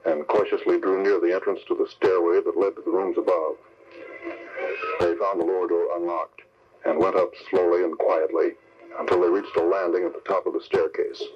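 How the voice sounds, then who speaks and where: A man's voice: deep voice, little pitch movement, moderate pace. A man delivering dramatic scripted narration for an old-time radio adventure serial. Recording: muffled, noisy old radio recording.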